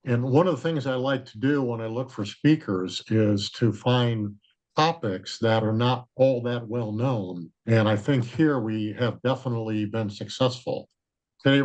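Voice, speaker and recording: A man with a loud, projecting voice introduces a guest speaker on a video call. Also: mid-range voice. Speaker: former president of the organization, introducing the speaker.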